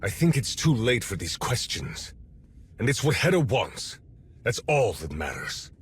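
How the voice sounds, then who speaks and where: A man's voice: mid-range voice, big pitch swings, a little slow. An actor playing a stern, brooding, loyal male warrior with a curt manner in a video game. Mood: stern, resigned. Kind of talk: cinematic video game acting.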